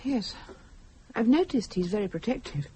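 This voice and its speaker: An actress playing an uneasy, genteel young British wife with clipped, expressive speech in a radio thriller. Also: female voice, fairly high pitch, moderate pace, a little hiss or hum, close to an everyday voice. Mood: concerned, curious. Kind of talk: radio thriller drama dialogue.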